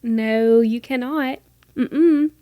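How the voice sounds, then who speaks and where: A woman's voice: on the high side, mellow, no room sound. A woman in her twenties from North Carolina, hosting a casual solo podcast from her room, chatty and playful, with a mostly neutral American accent that slips into a light Southern lilt. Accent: mostly neutral American, with a light Southern lilt at times. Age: 20s. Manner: chatty, fast-talking and playful. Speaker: podcast host.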